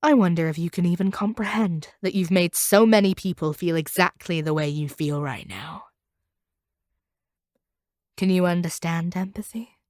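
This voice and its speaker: A woman playing a proud, cold monster hunter in an audio roleplay, speaking to a captive in a measured, condescending and domineering way. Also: fairly low pitch, darkish tone, no room sound, studio quality, lightly acted. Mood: cold, menacing. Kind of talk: scripted audio roleplay monologue.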